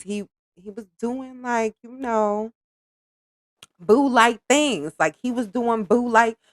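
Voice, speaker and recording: A woman's voice: medium pitch, no room sound. A woman hosting a podcast, chatting casually in slang with a slightly nasal voice from allergies. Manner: chatty, animated, informal slang. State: congested from allergies. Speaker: podcast host reacting to a reality show. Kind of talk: casual reaction commentary.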